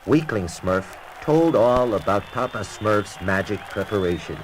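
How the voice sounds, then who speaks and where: A man's voice: lowish voice, expressive, unhurried, some chatter behind, slight background noise, poor audio. A man reading scripted narration for a children's read-along storybook record. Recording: children's record with music behind. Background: music.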